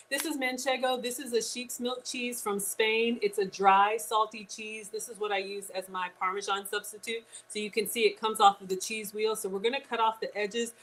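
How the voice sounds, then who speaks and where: A woman's voice: mid-range voice, fairly bright tone, a little hiss or hum. A woman hosting a live-streamed cooking class in a home kitchen, chatting straight to her viewers with casual asides. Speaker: cooking show host.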